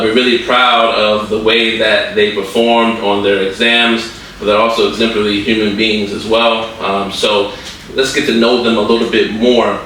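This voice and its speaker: Bright-voiced, chatty male podcast host. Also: fairly low pitch. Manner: chatty, joking, lots of fillers. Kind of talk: casual podcast chat. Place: school podcast room. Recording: echoey room, distant mic, faint noise.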